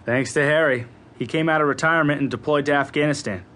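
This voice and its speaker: An actor playing a cocky, easygoing bomb-disposal expert in an audio drama, wisecracking and excitable with a breezy manner. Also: male voice, on the high side, quick pace, lightly acted. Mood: relaxed, wry.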